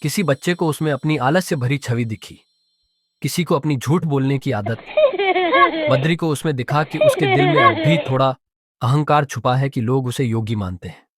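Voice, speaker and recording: A man's voice: mid-range voice, expressive, moderate pace, no echo, studio quality. A man narrating a scripted moral story for children as a storyteller. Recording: clearly noisy background.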